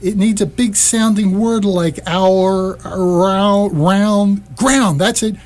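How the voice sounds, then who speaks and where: Newborn whale played by an actor: naive, wondering, self-questioning, medium pitch, stage radio play. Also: slow, a little mellow, close to an everyday voice. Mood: excited wonder. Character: bewildered innocent newborn whale, naively excited. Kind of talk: staged radio theatre acting.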